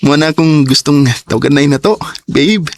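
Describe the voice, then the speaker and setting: A man's voice: fairly high pitch, fairly quick, a little mellow, slight background noise, natural voice. A man playing an eager, sincere young suitor in a Cebuano radio drama, with a Cebuano accent. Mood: tender.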